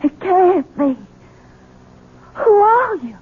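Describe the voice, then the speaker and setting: An actress playing a defiant, frightened young bride held captive, in shrill, melodramatic 1970s radio style. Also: female voice, very animated, extremely slow, exaggerated voice. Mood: shocked. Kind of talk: 1970s radio drama acting. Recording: tinny, noisy old radio recording.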